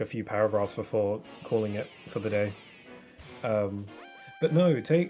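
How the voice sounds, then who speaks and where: A young man chats casually over a live game stream, his speech loose and rambling, full of fillers and restarts. He sits at a desk mic in a home setup. Clear game music runs steadily behind his voice. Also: fairly low pitch. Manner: rambling, soft-spoken, many fillers and restarts. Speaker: streamer playing a video game. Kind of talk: casual chat while gaming.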